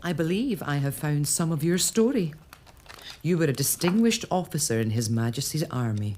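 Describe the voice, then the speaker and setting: An actress playing a composed early-1800s lady of a castle, speaking in a measured, gently defiant way. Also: deep voice, lively intonation, a little hiss or hum. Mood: reflective. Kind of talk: spoken monologue to a ghost.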